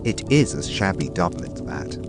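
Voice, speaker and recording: A man's voice: low-pitched, sing-song, normal speed, pitched below the performer's own voice. A man voicing a good-natured, earnest cobbler in a fairy tale, courtly in manner, over music. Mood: courteous.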